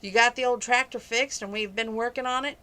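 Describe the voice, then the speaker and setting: A woman's voice: fairly high pitch, fairly even intonation, a little fast, sharp tone. A woman reading as a blunt, doubting cowboy brother. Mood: skeptical. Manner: blunt, disbelieving.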